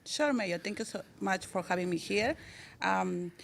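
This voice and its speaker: A woman's voice: mid-range voice, measured pace, gravelly, a little hiss or hum. A woman from Peru with a Peruvian Spanish accent, a native Spanish speaker, answering interview questions politely and carefully, sometimes searching for words. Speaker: guest, city communications coordinator.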